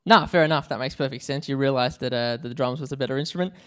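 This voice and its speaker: Clear-voiced Australian man hosting casually. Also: mid-range voice, fast, a bit bright. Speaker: podcast host. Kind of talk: casual remote interview.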